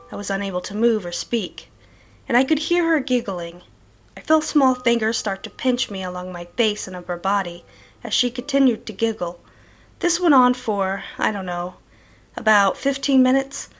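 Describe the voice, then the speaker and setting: A woman playing a troubled thirty-one-year-old, reading her sleep journal as grave, deliberate first-person horror narration. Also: mid-range voice, close to an everyday voice.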